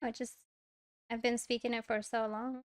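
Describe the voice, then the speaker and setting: Soft-spoken young woman with an Arabic accent. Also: a little high, little pitch movement, moderate pace. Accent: Moroccan Arabic. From Morocco. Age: young adult. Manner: soft, hesitant, many fillers, giggly. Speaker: podcast guest. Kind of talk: casual interview chat. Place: small indoor studio, on a couch. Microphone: lavalier mic.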